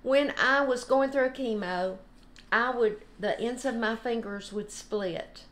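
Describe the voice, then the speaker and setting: An older woman giving an informal, unhurried devotional talk. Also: on the high side, fairly bright tone. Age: older, about 70s. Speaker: devotional host. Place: home kitchen, indoors.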